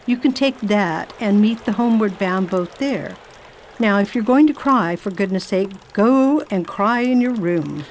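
A woman playing a formidable, brisk spinster travel writer with a crisp, commanding manner in an amateur radio drama. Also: medium pitch, expressive, a little mellow, a little hiss or hum. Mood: bossy.

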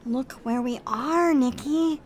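An eager android sidekick acted by a woman in a sci-fi radio drama, high-voiced, bossy and friendly. There is faint noise behind the voice. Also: slow. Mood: intent. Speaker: audio drama actor. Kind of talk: science fiction radio drama acting.

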